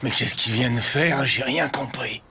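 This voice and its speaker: A man's voice: medium pitch, a little slow, very noisy, close to an everyday voice. A man voicing a blunt, dismissive young delinquent sidekick in a French anime dub. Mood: surprised. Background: loud anime music score.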